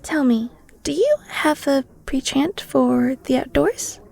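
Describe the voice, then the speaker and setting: A woman's voice: sits high, expressive, slow, gravelly, a little mellow, heavily acted. A woman playing an enthusiastic, flirty cheesemonger in a roleplay audio, chatty and theatrical, talking straight to the listener. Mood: cheerful, enthusiastic. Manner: playful, theatrical, chatty, with flustered stammers. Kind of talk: roleplay audio drama, one-sided conversation with the listener.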